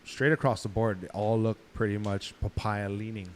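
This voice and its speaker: A man's voice: fairly low pitch, fairly even intonation, normal speed. A man chatting casually in a laid-back, slangy way with plenty of swearing. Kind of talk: casual chat. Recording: room recording with clear background noise.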